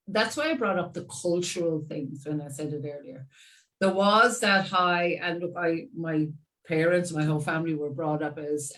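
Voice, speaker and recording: A woman in her sixties with an Irish accent, a guest chatting about parenting in a lively, laughing way. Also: on the low side, far from the mic, poor audio. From Ireland. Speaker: guest expert. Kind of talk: casual conversational interview.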